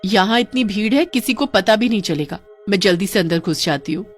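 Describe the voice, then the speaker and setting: A woman voicing a headstrong, excitable young girl in a cartoon, exclaiming in a childlike way. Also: mid-range voice, fairly quick, lightly acted. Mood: curious. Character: headstrong movie-mad young girl, boastful yet easily frightened. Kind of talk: Hindi cartoon voice acting. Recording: music under the voice.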